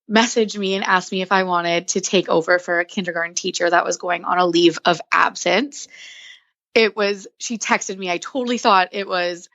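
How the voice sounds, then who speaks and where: A young woman teacher in her twenties, a podcast guest telling her story in an excited, giggly way. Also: mid-range voice, dry recording, dull audio. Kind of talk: casual interview chat.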